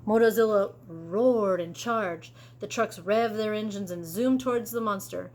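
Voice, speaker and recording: A woman reading a children's story aloud expressively, in an animated way, pausing for asides to young listeners. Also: medium pitch, slight background noise. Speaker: teacher reading a picture book aloud.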